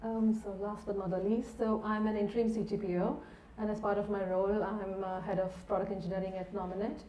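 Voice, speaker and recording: A woman's voice: medium pitch, flat delivery, brisk, warm tone. A middle-aged woman speaking as a technology panelist at a conference, introducing her working background. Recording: echoey room, distant mic, background noise.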